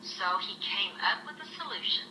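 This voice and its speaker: A woman's voice: medium pitch, expressive, normal speed, noisy background. An older woman telling a children's story expressively, as a grandmother reading aloud. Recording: roomy, distant home recording with music. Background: music.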